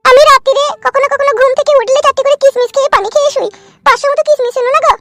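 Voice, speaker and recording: A man voicing a coy, complaining young newlywed village wife in a comic cartoon, with a rural West Bengal accent and a pitched-up voice. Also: steady tone, rapid-fire, noisy background. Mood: chatty. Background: music.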